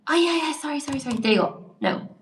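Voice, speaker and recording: A woman with a fairly high voice teaches English live online, answering viewers' messages between quiz questions. She speaks in a chatty, encouraging way while she types. The sound is roomy, with noticeable echo. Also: fast talker, slight background noise. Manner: chatty, encouraging, reacts to chat while typing. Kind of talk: live-stream lesson reading viewer comments. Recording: echoey room.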